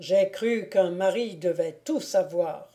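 A woman's voice: fairly low pitch, slow, warm tone. A woman voicing a courtly, self-assured male lord who spins tales, in a storybook reading. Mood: sly, unruffled.

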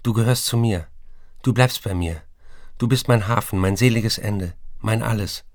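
A man playing a tormented, intense writer who confesses and narrates in measured, intimate speech in a radio play. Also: fairly low pitch, no room sound, studio quality, lightly acted. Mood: pleading, tender.

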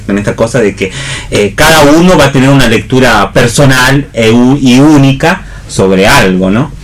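A man with an Argentine accent leads a casual round-table on the radio, rephrasing as he goes and posing questions to the group. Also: mid-range voice. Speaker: host and actor leading a panel discussion. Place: radio programme with background ambience.

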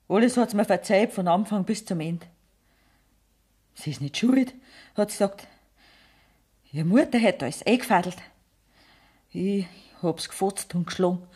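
A woman's voice: medium pitch, a little mellow, husky, natural voice. Actress doing a middle-aged bavarian peasant mom, anguished, narrating her outlaw son's story in a radio drama. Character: anguished rural mother of a captured outlaw, telling her story. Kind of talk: German radio play narration in dialect.

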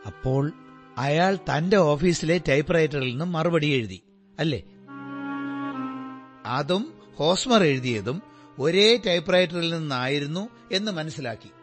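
A man's voice: fairly high pitch, animated, measured pace, long pauses, soft background music. An actor playing a detective's attentive male companion in a Malayalam radio drama, earnest and questioning. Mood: thoughtful.

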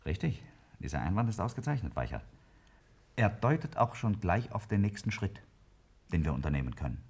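A man's voice: on the low side, sing-song, fairly quick, darkish tone, slightly rough, exaggerated voice. A man playing a brilliant, self-assured scientist in a 1950s German radio drama, lecturing emphatically and brusquely. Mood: assured, explaining. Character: brilliant, self-assured male scientist explaining a daring theory. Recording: vintage 1950s radio play recording.

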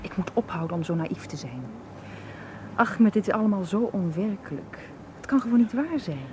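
A woman's voice: medium pitch, animated, a little fast, darkish tone, natural voice. An actress playing a young, anxious but determined female tour guide in a radio drama, speaking earnestly. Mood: worried, insistent. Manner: earnest, insistent. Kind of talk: Dutch radio drama acting. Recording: radio play, clear background noise.

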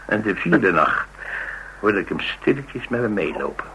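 A man's voice: fairly low pitch, big pitch swings, a little slow, creaky, pushed and bright, slight background noise, exaggerated voice, poor audio. An actor playing a rugged, blunt man, telling of his exploits in a wry, colloquial radio drama style. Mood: grim relish. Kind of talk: Dutch radio drama dialogue, conversational storytelling.

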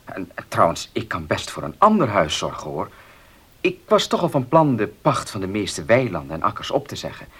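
Brief: stubborn farmer for radio play, deep, slightly husky, gruff yet earnest, very varied intonation. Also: male voice, very animated, moderate pace, a bit bright, full character voice. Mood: earnest, pleading. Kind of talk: Dutch radio drama acting.

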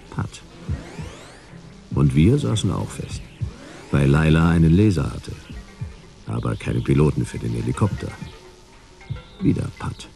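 A hardboiled sci-fi detective in a radio drama, very low and gravelly. The tone is dark, dry and sardonic, in clipped, laconic phrases, with faint music behind. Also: male voice, exaggerated voice. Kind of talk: radio play acting and first-person noir narration.

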